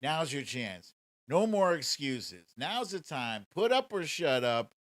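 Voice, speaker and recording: A man's voice: on the high side, very animated, slow pace, a bit bright, no room sound, clean studio sound. A man hosting a casual political commentary livestream in a sarcastic, mocking and profane manner. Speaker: show host.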